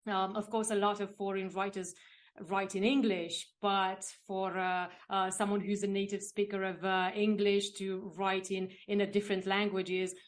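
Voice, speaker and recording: Romanian-born woman, non-native English speaker, podcast interviewer, halting and curious. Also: mid-range voice, flat delivery. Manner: hesitant, with frequent fillers and repeated words. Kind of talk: interview questions and responses.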